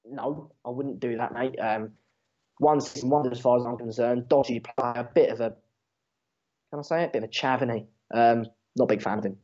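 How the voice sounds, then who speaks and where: Male, 17, English accent, native Dutch speaker. Football livestreamer: chatty, slangy, dry sarcasm, mid-pitched voice, dull lo-fi sound. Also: a little fast, dark tone. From England. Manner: slangy, matey, sarcastic, sweary. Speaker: football watchalong streamer. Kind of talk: casual live match commentary and chat with viewers. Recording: dull lo-fi stream mic.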